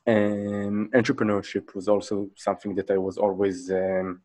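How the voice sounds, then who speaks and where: A man, a Hebrew speaker, answering as a guest in a casual interview, talking in halting bursts with many fillers and restarts. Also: on the low side, flat delivery, a little mellow. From Israel.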